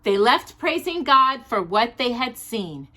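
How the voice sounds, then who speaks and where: A woman hosting a children's storytime, reading aloud expressively in a deliberate storybook manner with casual asides. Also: fairly high pitch. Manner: animated, deliberate storybook delivery.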